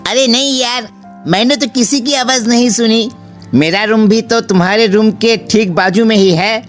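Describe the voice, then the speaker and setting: Cartoon story buddy: young male student, slangy, relaxed, teasing, light music underneath; male actor. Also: high-pitched, moderate pace, close to an everyday voice. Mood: dismissive. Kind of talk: dramatised cartoon story dialogue.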